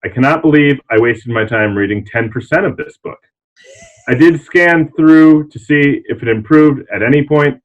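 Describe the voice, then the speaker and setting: A man's voice: a little high, normal speed. A man who works as a librarian, reading aloud and chatting informally on a panel. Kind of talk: reading reviews aloud and casual chat. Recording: dull, muffled lo-fi sound.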